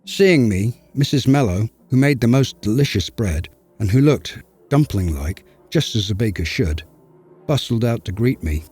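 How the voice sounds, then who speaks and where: Man reading a story, dark mellow tone. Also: lowish voice, lively intonation, breathy edge, faint music behind. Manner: measured, formal first-person reading. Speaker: narrator. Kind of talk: scripted story narration.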